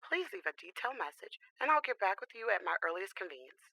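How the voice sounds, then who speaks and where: A professional doctor gives a formal voicemail greeting in an audio drama. She is quick and bright, with some creak in the voice. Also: mid-range voice, sing-song, dry recording, exaggerated voice, rough recording. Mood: brisk, formal. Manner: brisk, formal.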